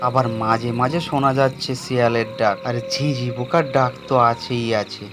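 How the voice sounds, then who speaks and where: A man playing an anxious young man with a guilty past, telling and acting out an animated horror story in a dramatic, suspenseful manner. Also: medium pitch, a little fast, over music, natural voice. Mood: uneasy, suspenseful. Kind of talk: dramatized first-person horror story narration.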